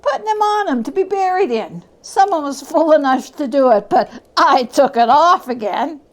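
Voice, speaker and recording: Brief: callous thieving woman played by a female reader, loud, brazen and scornful, pitched high, storybook drama. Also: animated, normal speed. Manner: brash, scornful, colloquial. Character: brazen, callous scavenging woman selling a dead man's things.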